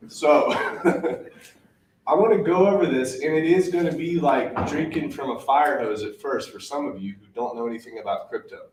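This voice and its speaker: A man presenting a live workshop to a room, frank and energetic, asking rhetorical questions. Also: medium pitch, normal speed. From Virginia, US. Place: meeting room at a live event. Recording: echoey room from a distance, faint hiss.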